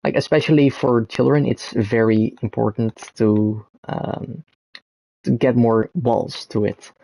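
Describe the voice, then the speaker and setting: A man's voice: mid-range voice, fairly even intonation, slow pace, creaky, mellow, no echo, poor audio. A young man from the Netherlands with a Dutch accent, rambling to camera with many ums and self-corrections. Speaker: content creator. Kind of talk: unscripted talk to camera.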